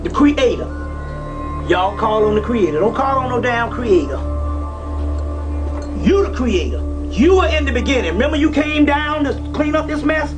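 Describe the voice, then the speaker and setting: A man's voice: very high voice, lively intonation, moderate pace. A man giving a fiery, informal lecture to a live audience, preaching emphatically and repeating phrases, often with profanity. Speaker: lecturer on esoteric teachings. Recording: distant live lecture recording, music over it. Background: music.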